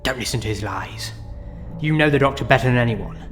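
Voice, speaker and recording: A man's voice: medium pitch, a little fast, a bit bright, natural voice. An actor playing an insidious male tempter, like an inner voice of delusion, persuasive and insinuating in an audio drama. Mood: sinister coaxing. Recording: long echoing reverb, music behind.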